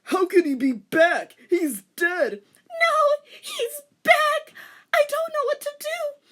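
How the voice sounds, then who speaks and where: A woman's voice: very high-pitched, takes it slowly, fairly bright tone, pitched above the performer's own voice, exaggerated voice. A woman voicing a young female warrior assassin from an anime fan fiction, theatrical and overwrought. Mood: anguished.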